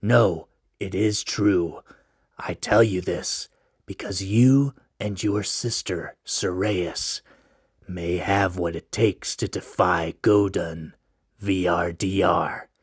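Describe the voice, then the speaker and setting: Man doing a mysterious winged stranger, hushed, deep, slow, ominous, storybook. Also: fairly even intonation, husky, no room sound, studio quality, full character voice. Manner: low, hushed, slow and deliberate.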